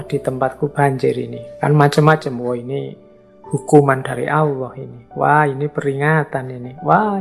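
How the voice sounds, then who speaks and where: Middle-aged male lecturer, gravelly and slightly hoarse, chatty delivery that acts out everyday remarks. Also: a little high. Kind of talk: philosophy lecture in a mosque.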